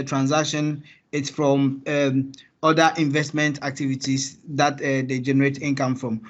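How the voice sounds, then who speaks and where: A fairly high-voiced man with a Ghanaian accent gives his answer in an online class, pausing often with fillers. The call audio sounds dull and lo-fi. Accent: Ghanaian English. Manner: hesitant, many fillers. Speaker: student. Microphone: video call.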